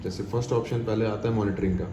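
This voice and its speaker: A man's voice: fairly low pitch, fairly even intonation, quick pace. An Indian man giving an informal step-by-step product tutorial in an explanatory, repetitive way, mixing English terms into his speech. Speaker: product demonstrator. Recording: echoey room with clear background noise.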